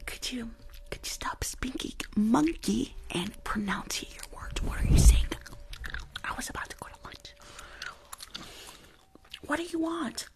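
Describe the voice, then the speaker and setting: A woman's voice: high voice, expressive, a little slow, pauses often, a little mellow, full character voice. Woman playing a sassy whispering school nurse. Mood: exasperated. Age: young adult. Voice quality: breathy whisper with fry. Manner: sassy, grumbling, rambling. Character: rude, weary, complaining female school nurse. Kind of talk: whispered ASMR roleplay. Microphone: close foam-covered microphone on desk.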